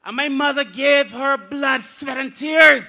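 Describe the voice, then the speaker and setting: A man's voice: very high-pitched, unhurried, creaky, bright, forceful tone. A man with a Barbadian accent, a politician giving an impassioned, declamatory speech at a rally.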